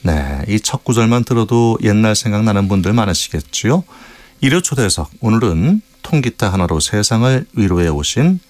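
A male radio host with a fairly low voice that rises and falls a great deal as he speaks. He stays polite and attentive and fills the gaps with frequent affirming backchannels. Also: very animated, moderate pace, darkish tone. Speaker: radio talk show host. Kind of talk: broadcast interview.

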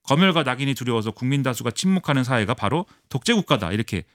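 Fast animated male political commentator. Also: medium pitch, dry recording, clean studio sound. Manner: fast, animated explaining with many fillers. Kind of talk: radio current-affairs analysis.

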